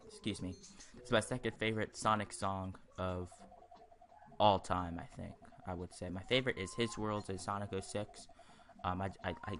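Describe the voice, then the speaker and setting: A man's voice: low-pitched, fairly bright tone, a little hiss or hum. A man giving casual, rambling let's-play commentary, sharing his opinions as he goes. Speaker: host of a gaming video series.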